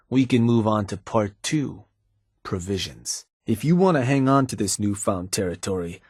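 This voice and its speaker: A scheming raider advisor from a video game, middle-aged and unhurried. His voice sits low and a little husky, and it comes across dry and close. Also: darkish tone, dry recording. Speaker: advisor to the player's raider gang.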